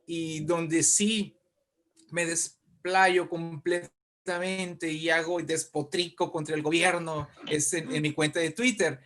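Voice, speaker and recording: Man, somewhat high-pitched, casual interview guest, talkative, halting, tag questions. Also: little pitch movement, a bit bright. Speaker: invited guest, writer and poet.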